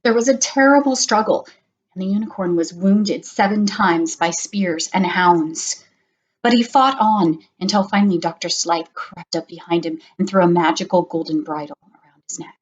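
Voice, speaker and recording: A middle-aged woman reading a children's story aloud in an expressive, deliberate way. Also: on the low side, lo-fi sound. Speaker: storybook session reader.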